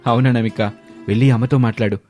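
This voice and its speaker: A man voicing a gentle, reassuring young husband in a cartoon moral story. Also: medium pitch, fairly even intonation, fast, faint music behind, natural voice.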